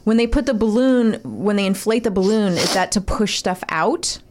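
A woman's voice: mid-range voice, moderate pace, a little mellow. A woman in casual chat, asking curious, sympathetic questions.

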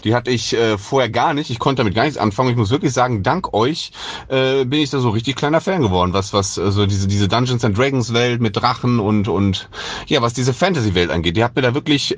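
A man's voice: mid-range voice, lively intonation, fairly quick, no echo. A man with an agreeable voice, talking casually and at length in a listener's voice message. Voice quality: pleasant, agreeable voice. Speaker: listener sending a voice message question.

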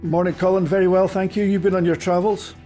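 Man, mild Scottish accent, slightly high voice, halting delivery, casual podcast talk. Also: level tone, dark tone, faint music behind. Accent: softened Scottish. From Scotland. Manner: halting, repeats words as he talks. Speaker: podcast panellist, former rally team boss. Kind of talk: casual chat.

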